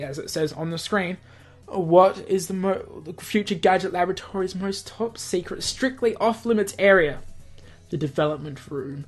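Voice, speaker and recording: Man reading a visual novel aloud. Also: sits high. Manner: stumbles over words and names. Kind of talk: read-aloud narration with casual commentary.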